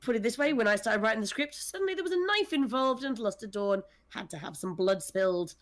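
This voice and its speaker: A woman's voice: on the high side, lively intonation, brisk. A woman speaking casually in a panel discussion as a writer and director, with a wry, self-deprecating manner. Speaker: audio drama scriptwriter and director.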